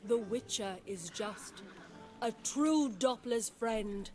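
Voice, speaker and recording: An actress playing an imperious princess in a stage play within a fantasy game, declaiming theatrically. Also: female voice, on the high side, takes it slowly, a little mellow. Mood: declamatory.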